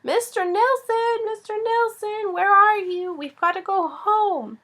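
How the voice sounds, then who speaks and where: A woman's voice: a little high, brisk. A woman voicing a bold, playful, boisterous young girl, exclaiming and teasing as she reads a children's story. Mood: calling loudly. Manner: exclaiming, teasing, shrieking when excited.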